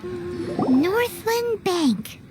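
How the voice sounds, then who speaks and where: A woman's voice: extremely high pitch, expressive, very slow, heavily acted. A woman voicing a small, childlike fairy companion in a video game, blunt and indignant, in exclamatory bursts. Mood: skeptical. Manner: indignant, exclamatory, speaks in third person. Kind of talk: video game character acting. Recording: loud music behind the voice. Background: loud game music.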